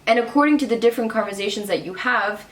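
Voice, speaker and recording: A woman's voice: fairly high pitch, fast talker, reverberant. A young woman, a German native speaker raised abroad, chatting casually as a guest with many fillers and frequent laughs. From raised abroad in Cairo, Dubai and Shanghai. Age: young adult. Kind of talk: casual conversation.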